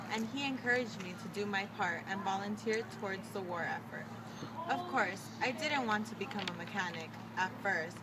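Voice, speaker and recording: A woman acting as an earnest, patriotic mechanic and mother of the 1940s, answering in a scripted radio interview. Her mid-pitched voice sits away from the mic, with clear noise around it. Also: recorded from a distance, lightly acted.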